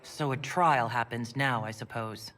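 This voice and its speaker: Wary dwarf heroine. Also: female voice, rumbling low, a little slow, fairly bright tone. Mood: puzzled, wary. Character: guarded female dwarf hero, wary and questioning. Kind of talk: video game acting. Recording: echoey hall with music behind.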